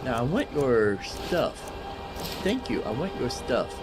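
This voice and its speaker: Slow-talking man commentating a video game. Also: medium pitch, sing-song, over loud music. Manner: talks to himself, muttering asides and questions. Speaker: gaming video host. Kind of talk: casual gameplay commentary.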